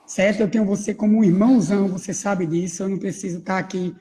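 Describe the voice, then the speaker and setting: Middle-aged pastor hosting a live stream, warm low tone, keeps saying amém and verdade. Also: male voice, fairly even intonation, quick pace. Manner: affirming, frequent "amém" and "verdade". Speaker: host pastor. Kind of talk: casual livestream chat.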